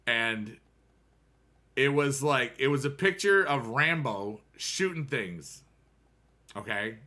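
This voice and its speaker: A man's voice: medium pitch, expressive, slow pace, sharp tone. An American man in his thirties chatting casually with viewers on a game stream, rambling and upbeat with playful rhymes.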